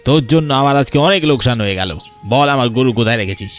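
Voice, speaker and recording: A man's voice: mid-range voice, lively intonation, quick pace, soft background music, natural voice. A man voicing a harsh, accusing village uncle in a cartoon, scolding. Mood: angry. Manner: scolding, berating. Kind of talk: cartoon voice acting.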